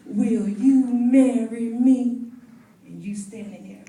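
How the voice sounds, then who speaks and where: A woman plays a sassy, protective girlfriend in a live stage drama. She is blunt and sardonic, stretching her words slowly for emphasis in a high voice. The sound is distant, ringing around a roomy hall. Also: mellow. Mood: mocking, emphatic. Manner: emphatic, drawn-out, sardonic, preacherly flourishes. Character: sassy, blunt, protective best friend, scolding and incredulous. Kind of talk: live stage play acting. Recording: echoey hall from far off.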